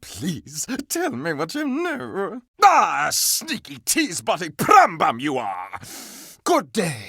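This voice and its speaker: A living candelabra from a video game, a flamboyant gossip who is easily scandalized. The delivery is grandly theatrical and mock-archaic, leaping across a huge pitch range with a bright, cutting edge and slow, drawn-out relish, in a dry close recording. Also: male voice, huge pitch swings, breathy edge, no echo, full character voice. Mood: excited, scandalized. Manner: theatrical, mock-archaic, dramatic exclamations and coy asides. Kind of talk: animated video game character acting.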